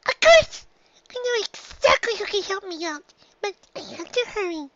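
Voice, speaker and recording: A woman's voice: very high-pitched, animated, normal speed, fairly bright tone, lo-fi sound, slight background noise, pitched above the performer's own voice, heavily acted. A woman voicing an earnest, sweet little girl pony from a story, with a childlike, emphatic way of talking. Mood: determined.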